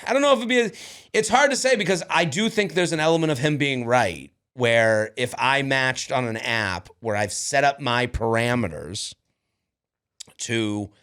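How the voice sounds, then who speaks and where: A man in his thirties co-hosting a podcast in casual chat, animated, acting out quoted lines. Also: medium pitch, moderate pace, smooth. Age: 30s. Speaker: podcast co-host.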